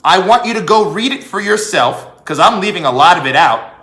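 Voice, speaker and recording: Male commentator, high bright voice, deliberate and emphatic, hammering points with repetition. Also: lively intonation. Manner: emphatic, repeats phrases for effect, rhetorical questions. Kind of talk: opinionated political monologue. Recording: echoey room.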